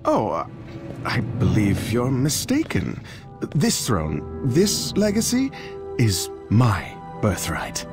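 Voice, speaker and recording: Haughty, swooping young dragon prince. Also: male voice, mid-range voice, huge pitch swings, slow, over music, exaggerated voice. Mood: defiant. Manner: sardonic, theatrical. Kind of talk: fantasy game cutscene acting.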